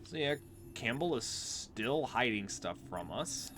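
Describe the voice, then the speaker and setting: Animated sweary man over game music. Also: mid-range voice, slow. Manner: sweary, wry. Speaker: let's play host. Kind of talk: casual game commentary.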